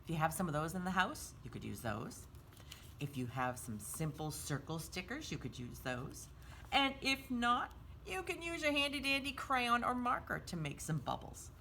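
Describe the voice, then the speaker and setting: A woman's voice: on the low side, very animated, moderate pace, creaky, bright, forceful tone, slight background noise. A woman hosting a children's storytime craft, explaining each step in simple, sing-song, child-directed language.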